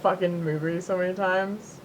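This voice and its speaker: A young woman co-hosting a podcast, telling stories casually in a giggly, animated way with easy swearing. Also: normal speed, over a noisy crowd, poor audio. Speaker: podcast co-host. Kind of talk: casual chat, storytelling. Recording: rumbly background noise.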